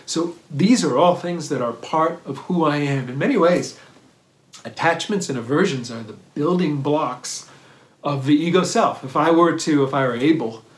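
A man giving a thoughtful dharma talk on mindfulness, pausing and restarting often and checking in with "right?". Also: on the high side. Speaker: meditation teacher. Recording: roomy echo.

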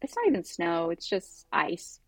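A woman's voice: lowish voice, wildly swooping pitch, normal speed, no echo. A woman co-hosting a podcast in casual, rambling chat, talking over a basic home setup on a video call. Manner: bubbly, rambling, says "like" and "literally" a lot. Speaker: podcast co-host.

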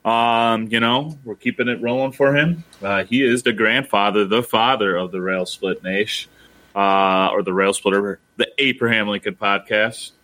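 A man co-hosts a casual history podcast, his voice sitting slightly low but coming across bright and forceful. He jokes, rambles and pokes fun at himself. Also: moderate pace, rough recording. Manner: joking, rambling, self-mocking. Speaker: podcast co-host. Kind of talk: casual chat.

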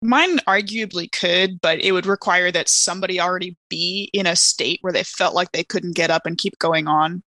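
Woman, tabletop player, casual, lowish pitch, crisp forward tone, close dry mic. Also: fairly even intonation, normal speed, few pauses, bright, forceful tone, no echo. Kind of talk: casual chat.

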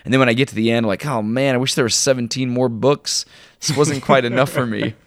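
A man co-hosting a podcast, chatting casually in an informal, halting way and sounding enthusiastic about his favorites. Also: mid-range voice, fairly even intonation, no room sound, clean studio sound. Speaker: podcast co-host. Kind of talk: casual chat, recommending shows and books.

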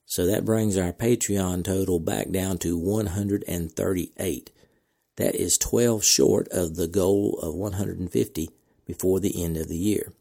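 A man hosting a podcast, reading announcements in a measured, deliberate way with casual asides, his voice cracking from a slight cold. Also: low voice, steady tone, creaky, dark tone, breathy edge. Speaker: podcast host.

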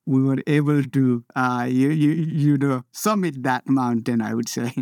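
A man from Nepal, a mountaineer, answering interview questions in a casual, halting way with many fillers and restarts. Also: mid-range voice, darkish tone, dry recording, clean studio sound.

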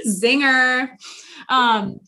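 A young woman co-hosts a chatty podcast, animated and sarcastic, with laughter breaking in often. Her voice sits a little high and sounds bright and forward. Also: drawn-out words, low-quality recording. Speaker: podcast co-host. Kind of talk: casual chat.

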